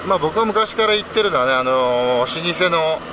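Middle-aged man, chatty and self-deprecating, slightly high voice, scooter ride at night, loud engine and traffic noise. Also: expressive, normal speed. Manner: chatty, self-deprecating, thinks aloud with many fillers. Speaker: delivery rider and shop owner vlogging. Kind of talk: casual rambling monologue while riding. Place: outdoors on a scooter on a city road at night. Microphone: helmet or handlebar camera mic.

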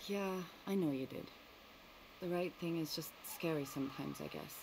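An actress playing a weary, compassionate medic in a zombie video game, with a gentle, earnest way of speaking. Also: female voice, deep voice, normal speed, background noise. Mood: weary, troubled.

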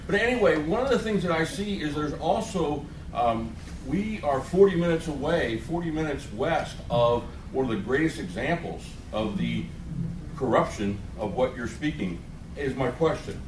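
A man's voice: on the high side, sing-song, normal speed. Rambling man asking from the floor. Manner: rambling, self-deprecating, laughs while talking. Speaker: audience member. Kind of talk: question from the floor. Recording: distant in an echoey room with clear noise.

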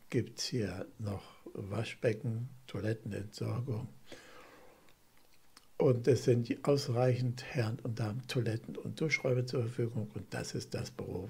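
A man's voice: mid-range voice, vocal fry, dark tone, slightly rough. A man narrating a travel vlog in a plain, chatty, unpolished way. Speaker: camper van travel vlogger. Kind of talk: narrated travel video commentary. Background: music.

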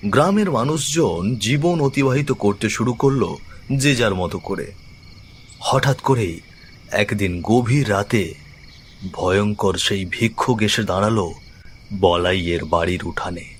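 A man narrating a scripted horror story in a measured, suspenseful way, phrase by phrase. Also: fairly low pitch, slight background noise.

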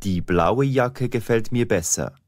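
Low-voiced man speaking slowly. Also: expressive, dry recording, clean studio sound. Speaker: language lesson narrator. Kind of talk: slow, clearly articulated example sentences, each said twice.

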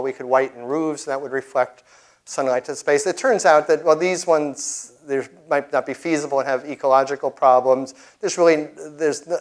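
A middle-aged man giving an informal science lecture with slides, often restarting his sentences as he explains. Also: mid-range voice. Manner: halting, frequently restarting sentences. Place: indoor lecture room, at a podium.

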